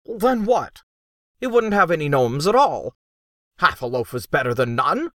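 A man's voice: a little high, sing-song, a little slow, a bit bright, dry recording, clean studio sound, voice pushed up. A man voicing a weary, earnest man trapped as a gnome, arguing his case. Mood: persuasive. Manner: earnest, reasoning.

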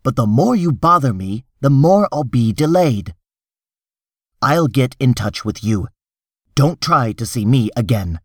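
A man's voice: medium pitch, lively intonation, measured pace, darkish tone, breathy edge, no echo, clean studio sound. A man voicing an irritable, defensive official in a story reading, insistent and exasperated. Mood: urgent, reassuring. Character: tense, defensive, irritable male official making excuses.

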